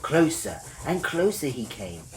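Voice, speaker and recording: A woman's voice: low voice, very animated, unhurried, darkish tone, slight background noise. A woman reading a children's story aloud as a scripted narration.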